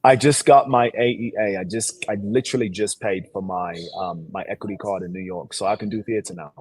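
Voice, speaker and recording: This man has a low voice with a raspy edge and speaks in a put-on British accent. He talks like an actor in an interview selling himself, with confident, emphatic, boastful phrasing and plenty of slang. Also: fairly even intonation, fairly quick, darkish tone, dry recording. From born in Sierra Leone, grew up in Seattle. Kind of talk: animated self-promotional interview talk.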